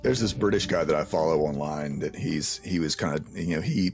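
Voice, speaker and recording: A man's voice: very deep voice, brisk, over loud music. A man hosting a casual interview in a rambling, conversational way. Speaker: interview host. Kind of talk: casual podcast chat.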